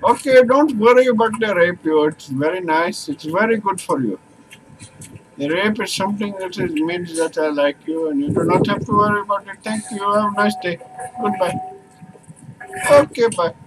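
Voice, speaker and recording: A man's voice: high voice, normal speed, noisy background, close to an everyday voice. A man doing a brash put-on Indian accent. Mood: glib. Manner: bragging, swearing, mock-aggressive, stilted phrasing. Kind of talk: crude improvised comedy bit.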